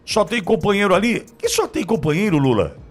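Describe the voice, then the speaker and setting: Brazilian man, high-pitched voice, mocking political talk host, rhetorical questions, music behind. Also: big pitch swings, a little fast. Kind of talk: opinionated political commentary, conversational.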